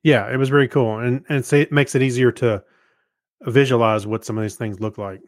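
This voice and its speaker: A man's voice: medium pitch, fairly even intonation, a little fast, no echo, studio quality. Easygoing man chatting on a podcast. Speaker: podcast co-host. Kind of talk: casual podcast chat.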